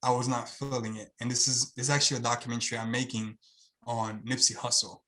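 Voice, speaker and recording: A man's voice: medium pitch, level tone, fast talker, a bit bright, slight background noise, rough recording. A man from Haiti with a Haitian accent, a guest talking on a video call in an earnest, rambling way with lots of fillers. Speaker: guest, channel owner. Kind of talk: casual video-call interview.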